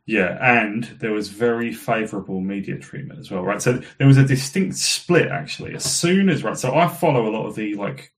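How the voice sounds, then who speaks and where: Hoarse low-voiced man chatting. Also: brisk, hardly any pauses. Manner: chatty, many fillers and restarts. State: hoarse, losing his voice. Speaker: guest commentator. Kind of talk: casual podcast discussion.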